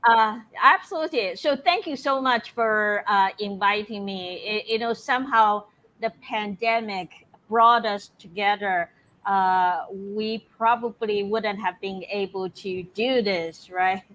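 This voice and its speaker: A woman's voice: mid-range voice, measured pace, fairly bright tone, rough recording. A middle-aged woman, a guest in an online interview, speaking in a reflective, conversational way. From Taiwan.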